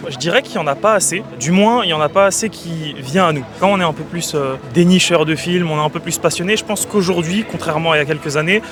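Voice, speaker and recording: Fast-talking man at a street interview. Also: a little high, music in the background. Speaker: festival-goer. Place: outdoors in a city.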